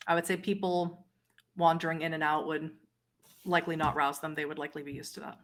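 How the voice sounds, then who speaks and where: A woman with a fairly low voice narrates a tabletop horror game as its game master. She builds each scene descriptively, with storyteller pauses between phrases. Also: flat delivery, a bit bright.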